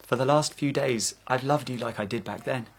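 An actor playing a bitter, jealous, fragile young man with a husky voice in a stage drama. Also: mid-range voice, normal speed, a little hiss or hum, lightly acted. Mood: intimate, bitter. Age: young adult.

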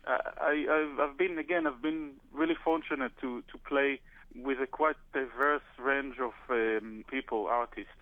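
A man's voice: medium pitch, fairly even intonation, normal speed, no echo. A man from Israel talks over a tinny, narrow phone line, his voice dry and close. He speaks hesitantly, with many "uh"s and restarts. He is a touring drummer answering interview questions.

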